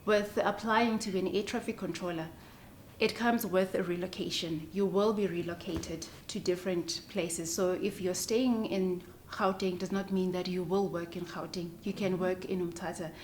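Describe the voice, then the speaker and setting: A woman's voice: fairly low pitch, fairly even intonation, normal speed. A woman with a South African accent, an air traffic controller giving informal explanations in an interview.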